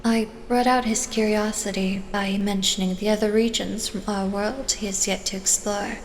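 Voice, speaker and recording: A woman's voice: medium pitch, level tone, normal speed, a little hiss or hum, lightly acted. A woman playing a dutiful, reserved vampire subordinate in a fantasy audio drama, formal and detached. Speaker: voice actor. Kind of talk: scripted fantasy character dialogue.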